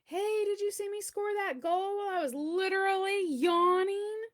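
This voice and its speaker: A woman's voice: very high-pitched, moderate pace, voice pushed up. A woman voicing a boastful, pompous chunk of cheddar cheese in a theatrical storybook read-aloud. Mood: smug. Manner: pompous, showy, theatrical delivery.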